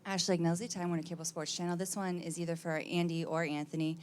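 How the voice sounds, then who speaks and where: A woman asks a question from the floor of a press conference as a TV reporter. Her voice sits fairly low and she is crisp and direct. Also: little pitch movement, quick pace, darkish tone, a little hiss or hum.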